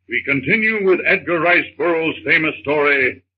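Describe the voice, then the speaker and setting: A man's voice: a little high, animated, normal speed, slightly rough. A man narrating a 1930s radio adventure serial in a formal, melodramatic, suspenseful style. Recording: noisy, muffled 1932 radio recording.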